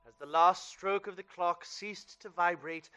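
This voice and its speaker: Man as bright-voiced dramatic storyteller. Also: high-pitched, a little slow, smooth. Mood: solemn, suspenseful. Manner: dramatic, literary. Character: measured storyteller narrating a Victorian ghost tale. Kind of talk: scripted radio play narration.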